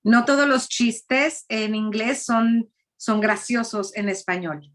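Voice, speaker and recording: A woman, interpreting live on a video call, quickly passing each line along with small restarts. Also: fairly high pitch. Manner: quick relaying, small restarts, laughs easily. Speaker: interpreter and host.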